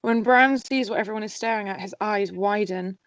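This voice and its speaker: A woman's voice: medium pitch, fairly quick, dry recording. A woman narrating stage directions in an amateur script table read.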